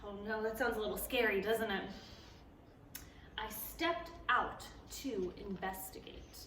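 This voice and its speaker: A woman reading a picture book aloud to preschoolers at a library storytime, animated and playful, stopping to ask the children questions. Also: mid-range voice, a little slow, a little hiss or hum. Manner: playful, gasps and exclaims at the story. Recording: echoey room, voice a bit far from the mic.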